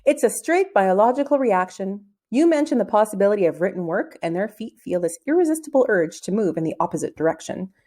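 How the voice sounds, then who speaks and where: A woman voicing a brisk, unbothered female schoolteacher in a children's storybook, dry and matter-of-fact. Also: mid-range voice, big pitch swings, warm tone. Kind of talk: children's storybook read-aloud.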